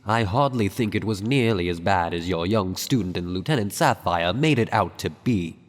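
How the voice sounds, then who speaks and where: A man's voice: low voice, lively intonation, normal speed. An actor playing a male pony royal chamberlain in a radio drama, speaking with formal, courtly deference and polite skepticism. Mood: deferential objection. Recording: reverberant hall.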